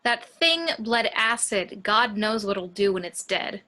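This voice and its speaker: A woman's voice: mid-range voice, expressive, a little slow, sharp tone. A woman reading a wary, challenging spaceship officer in an amateur table read, direct and questioning.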